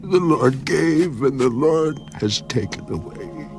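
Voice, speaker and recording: A man's voice: mid-range voice, animated, takes it slowly, over music. An actor playing a devout, steadfast man in grief, solemn and earnest, in a dramatised scripture reading. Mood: grieving, reverent. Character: Job, devout man, grieving yet steadfast.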